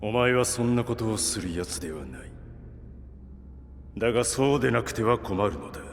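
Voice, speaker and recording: Deep, gravelly, cold knight commander. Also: male voice, animated, slight background noise. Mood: cold, measured. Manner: measured, condescending, ominous. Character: cold, calculating male knight commander, imposing villain. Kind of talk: anime game voice acting. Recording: long hall reverb, faint music.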